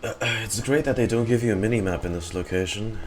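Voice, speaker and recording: A man's voice: fairly low pitch, fairly quick. A man giving casual, rambling livestream commentary on a game, thinking aloud in trailing half-sentences. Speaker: game streamer.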